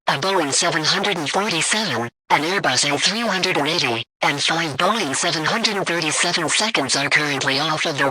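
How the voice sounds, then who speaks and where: Male emergency alert announcer, very sharp bright tone, dry sound, formal scripted delivery. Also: on the high side, husky, dry recording, poor audio.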